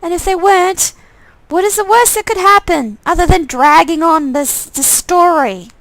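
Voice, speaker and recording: Woman voicing a high, creaky, wary game heroine. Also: moderate pace, fairly bright tone, no echo, low-quality recording. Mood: questioning, annoyed.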